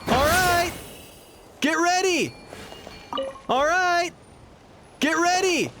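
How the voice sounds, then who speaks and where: A man's voice: very high-pitched, drawn-out words, over music, heavily acted. A man playing an easygoing, practical young arena worker in a video game, casual and upbeat. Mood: rallying. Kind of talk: scripted video game dialogue.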